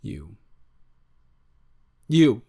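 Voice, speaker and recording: A man playing an excited, affectionate boyfriend on vacation in an improvised roleplay audio, rambling and stammering playfully. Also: very slow. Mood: awed, tender.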